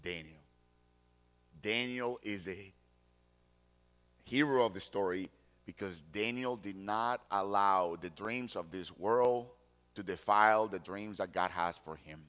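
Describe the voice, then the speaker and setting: Pastor, male, 40s; bright, forceful tone, emphatic repetition. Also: fairly low pitch, clean tone. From Colombia. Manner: deliberate, emphatic repetition of key phrases. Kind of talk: sermon.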